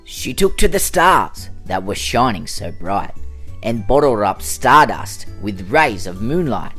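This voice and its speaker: A man narrating a rhyming children's storybook as host, in an expressive, dramatic storytelling manner. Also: fairly low pitch, measured pace, breathy edge, faint music behind. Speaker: storyteller, host of a children's read-aloud show.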